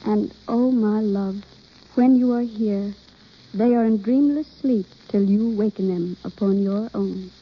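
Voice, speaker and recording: A woman's voice: medium pitch, measured pace, slightly rough. An actress playing an eager, lovestruck young woman who studies acting, with coy, theatrical delivery in vintage radio drama style. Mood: romantic, yearning. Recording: dull old radio broadcast recording.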